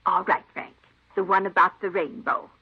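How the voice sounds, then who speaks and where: An actress playing a folksy, forgetful, kindly elderly aunt who rambles in an old radio drama. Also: female voice, fairly low pitch, flat delivery, normal speed, slightly rough. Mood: proud, doting. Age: older. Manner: rambling, folksy rural phrasing. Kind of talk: 1950s radio drama acting. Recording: dull old radio recording.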